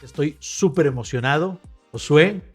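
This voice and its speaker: A man's voice: on the high side, expressive, unhurried, breathy edge, soft background music. A man co-hosting a podcast, asking his guest questions eagerly, with some hesitations. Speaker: podcast co-host. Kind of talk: podcast interviewing. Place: creative studio in Madrid.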